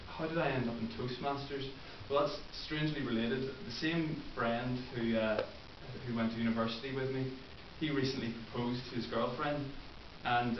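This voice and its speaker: Northern Irish man giving a speech. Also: mid-range voice. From Northern Ireland. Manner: earnest, many ums and uhs. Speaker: club member giving a first speech. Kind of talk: prepared speech. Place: meeting room. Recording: roomy, distant, muffled with hiss.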